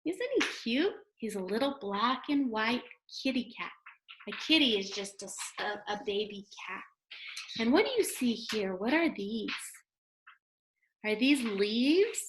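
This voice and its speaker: A woman's voice: a little high, expressive, measured pace, slight background noise, low-quality recording. A woman teacher giving a read-aloud lesson to young children, speaking simply and asking them questions, recorded at home. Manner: simple, child-directed talk with questions and sounded-out letters.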